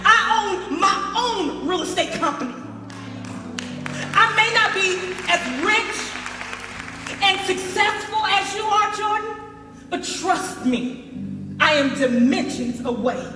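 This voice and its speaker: A woman's voice: very high-pitched, heavily acted. An actress playing a proud, wounded ex-girlfriend in a stage play, with an emphatic, theatrical delivery. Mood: indignant, proud. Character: proud, wounded ex-girlfriend, recovered addict turned businesswoman. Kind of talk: gospel stage play acting. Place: stage in a large hall. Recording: echoey theatre hall with music underneath.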